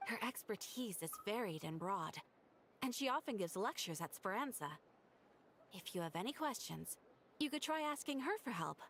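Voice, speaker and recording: Polite formal receptionist lady, lively tone, explains stuff patiently. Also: mid-range voice, moderate pace, a little hiss or hum. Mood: courteous. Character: polite adult female guild receptionist, helpful and formal. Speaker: guild receptionist. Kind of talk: video game dialogue. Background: game music.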